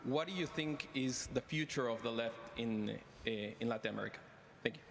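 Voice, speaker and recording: A man's voice: medium pitch, a little fast, creaky, a little hiss or hum. A young man from Ecuador, a student, asking a formal question in a university hall. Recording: roomy hall, off-mic.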